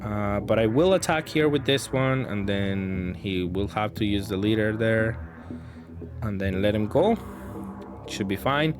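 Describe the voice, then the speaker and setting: A man's voice: lowish voice, animated, measured pace, over music. A man giving casual gameplay commentary, thinking aloud in a hesitant, self-correcting way. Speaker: gaming content creator commentating matches.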